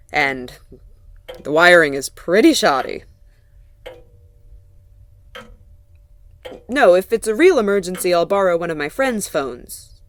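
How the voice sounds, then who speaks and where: An actress playing a wry, guarded woman in a naturalistic audio drama, talking haltingly and gently. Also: on the low side, close to an everyday voice. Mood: casual, reassuring. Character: Sophie, warm, wry, guarded woman mechanic.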